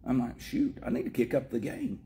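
A man's voice: medium pitch, wildly swooping pitch, fairly quick, warm tone, a little hiss or hum. A middle-aged man from Tennessee with a Tennessee accent, a pastor chatting about scripture in a joking, self-mocking way. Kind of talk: casual chat on scripture.

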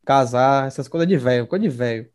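A man's voice: medium pitch, a little fast, darkish tone, clear, resonant tone. A young Brazilian man in his twenties in casual podcast chat, laid-back and slangy, tripping over words now and then. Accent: Brazilian Portuguese. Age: 20s. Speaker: podcast co-host.

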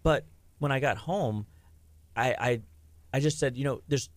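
A man's voice: mid-range voice, measured pace, no echo. A middle-aged man, a health practitioner, talking in a casual rambling way with lots of restarts and fillers. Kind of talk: casual podcast chat.

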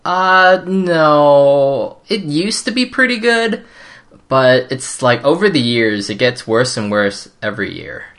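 A man's voice: fairly high pitch, sing-song, slow, a bit bright. A young man whose first language is Cantonese, chatting casually in a laid-back way, full of fillers and hedges. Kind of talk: casual chat.

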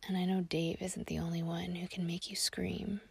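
A woman voicing a shy, devoted young man in a breathy, hesitant whisper. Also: fairly low pitch, flat delivery, normal speed, dry recording, full character voice. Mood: tender. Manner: soft, hesitant.

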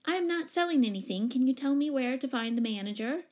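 A woman's voice: a little high, animated, normal speed, natural voice. Woman as a firm, insistent storybook mother. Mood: hurried. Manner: crisp, insistent. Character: firm, exasperated mother, businesslike and direct.

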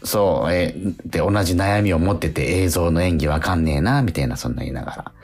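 A man's voice: low voice, a little fast, a little mellow. A man hosting a casual radio talk in a conversational way, often seeking agreement from his listener. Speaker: radio show host and stage actor. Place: remote recording.

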